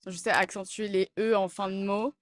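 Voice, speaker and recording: A woman's voice: mid-range voice, fairly even intonation, normal speed. A woman from Lyon, speaking casually in a street interview.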